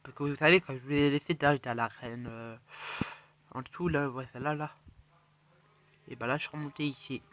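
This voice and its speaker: A man with a cold giving rambling, excitable commentary on his mobile game matches and chest openings. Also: medium pitch, a little fast, pauses often. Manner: rambling, excitable, self-correcting, drops to mutters. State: has a cold. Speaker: mobile game channel host. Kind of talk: casual gameplay commentary.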